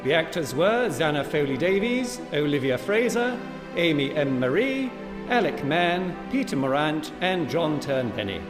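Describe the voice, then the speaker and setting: A man's voice: fairly high pitch, very animated, a little slow. A man reading formal scripted closing credits as the announcer of a live radio play. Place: live in an echoing anatomy theatre. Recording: reverberant hall, music behind.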